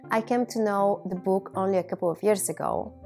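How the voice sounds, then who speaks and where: A woman in her thirties from Bulgaria, a native Bulgarian speaker, giving a reflective personal monologue to viewers in measured, deliberate phrases. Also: mid-range voice, gravelly, mellow, faint music behind. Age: 30s. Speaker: video blogger.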